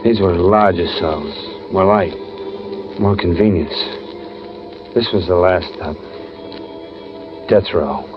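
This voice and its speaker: A man's voice: low voice, takes it slowly, long pauses. An actor playing a lonely, fearful condemned man, narrating his last hours in plainspoken, brooding first person for a vintage radio drama. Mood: grim, reflective. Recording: muffled old radio recording with music.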